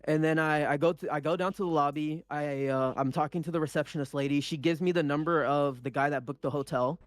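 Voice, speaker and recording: A man's voice: on the high side, steady tone, a little fast, no echo. Young man rambling through a story. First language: Punjabi. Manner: rambling, many restarts and swearing. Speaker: podcast co-host. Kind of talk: casual storytelling chat.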